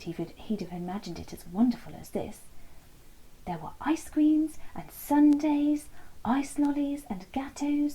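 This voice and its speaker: A woman reading a children's bedtime story aloud in an expressive, deliberate storytelling manner, with a soft, breathy voice. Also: on the high side, low-quality recording. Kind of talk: scripted read-aloud story narration.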